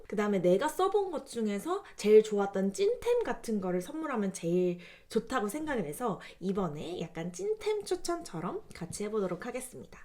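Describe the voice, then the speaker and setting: Young woman with lively, swinging intonation. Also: on the high side. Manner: chatty, explanatory, polite address to viewers. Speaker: video creator recommending gifts. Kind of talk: casual product-recommendation vlog talk.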